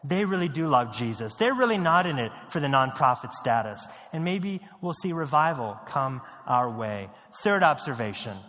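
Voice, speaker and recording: A male pastor from the United States preaching a sermon, earnest, repeating key lines and dropping low and quiet on grave points. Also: long reverb tail. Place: church sanctuary.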